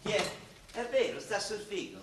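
An exasperated Roman father in a radio play, pitched high for a man. He grumbles and scolds emphatically in a Roman accent. The sound is distant, with clear noise around it. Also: very animated, normal speed, a bit bright. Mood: grumbling. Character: exasperated Roman father, bricklayer, scolding and worrying over baby. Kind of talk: stage-style dialect radio drama acting. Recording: roomy, distant, noisy stage recording.

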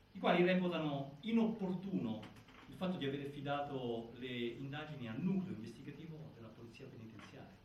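A man performing as a solemn theatre narrator, reciting a case file in formal, deliberate, declamatory phrases. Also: mid-range voice, close to an everyday voice. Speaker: narrator in a stage inquiry play. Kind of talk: scripted theatrical documentary narration. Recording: echoey, distant, faint noise.

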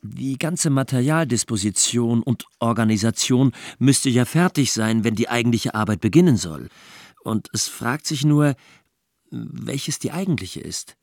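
Measured, sceptical learned humanist. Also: male voice, mid-range voice, no room sound, clean studio sound. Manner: measured, questioning, precise. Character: sceptical, learned humanist friend, courteous and probing. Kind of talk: German literary radio drama dialogue.

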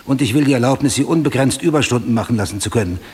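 A husky, driven police detective. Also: male voice, medium pitch, a little mellow. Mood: urgent, insistent. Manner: formal, deliberate, insistent. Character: driven, obsessive male police homicide detective sergeant. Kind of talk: German radio drama acting.

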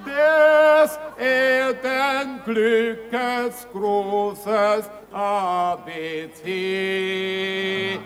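Casting: brash street balladeer, very high bright voice, folksy mockery, theatrical sung declamation over music. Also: male voice, fairly even intonation, exaggerated voice. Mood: rousing. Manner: declamatory, drawn-out phrases between spoken asides. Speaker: ballad singer. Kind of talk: theatrical half-sung ballad in a radio play. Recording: 1960s radio play with musical accompaniment.

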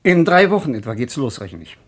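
Radio drama: confident publisher and organiser, slightly rough husky voice, businesslike and urging. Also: male voice, mid-range voice, sing-song, fairly quick. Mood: eager, persuasive. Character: brisk, self-assured male publisher, persuasive organiser. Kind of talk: radio play acting.

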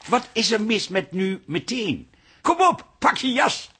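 An actor playing a middle-aged, pushy and sarcastic café husband in a radio drama, speaking with an Amsterdam accent. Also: male voice, high voice, sing-song, measured pace. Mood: pushy, eager.

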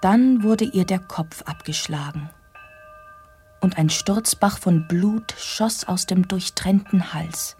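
An actress playing a sombre noblewoman narrating her haunted past in a radio drama, with a breathy voice. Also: lowish voice, darkish tone.

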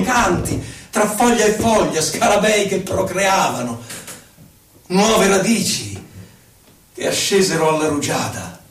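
An actor playing an impassioned, solemn poet who recalls his life in theatrical, declamatory verse with measured phrasing. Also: high voice, slight background noise, close to an everyday voice. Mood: exultant. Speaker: actor in a poetic theatre piece for radio. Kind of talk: dramatic poetry recital. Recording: roomy echo, distant mic, violin music. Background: violin music.

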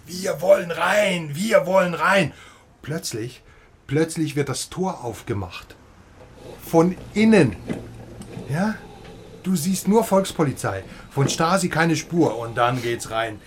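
An actor playing an excitable, chatty West Berlin journalist in a radio drama, halting and exclaiming as he talks to a friend. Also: fairly high pitch, a little hiss or hum. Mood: excited, breathless recounting. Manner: halting, animated, swinging from murmur to exclamation. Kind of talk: naturalistic radio drama dialogue.

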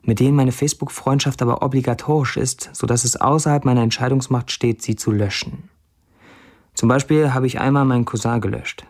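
An actor plays a wry young man with a middle-pitched voice. He delivers a blunt, dry first-person monologue in a matter-of-fact way, as in a radio play. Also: fairly even intonation, a little fast, darkish tone, close to an everyday voice. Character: wry 29-year-old Syrian man narrating his blunt online posts.

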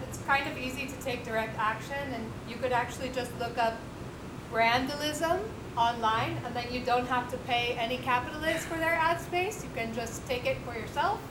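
A woman with a higher voice speaks up loudly and outspokenly from the audience. The hall echoes strongly around her. Clear background noise sits under her words. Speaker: audience member. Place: event venue.

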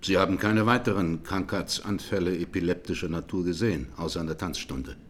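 An actor playing a sober presiding judge in a 1920s trial radio drama, questioning formally and insistently. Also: male voice, low-pitched, brisk, a little hiss or hum, natural voice.

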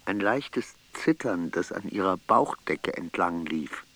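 An actor playing a somber man who recounts an intimate memory in terse, measured fragments in a literary radio play. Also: low voice, lively intonation, full character voice. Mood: tense intimacy. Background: soft plucked guitar music.

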